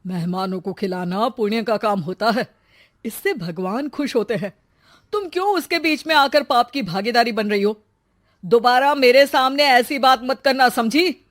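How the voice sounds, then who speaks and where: A stubborn young husband in a cartoon, bossy and quick to scold, as a woman voices him. The voice sits a little high, and he gives orders without waiting for a reply. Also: lightly acted. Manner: scolding, commanding. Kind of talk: cartoon voice acting.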